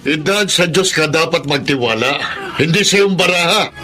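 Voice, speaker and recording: Middle-aged Filipino father, high voice, bright and forceful, firm, pious, reproving, radio drama. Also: expressive, moderate pace, faint music behind.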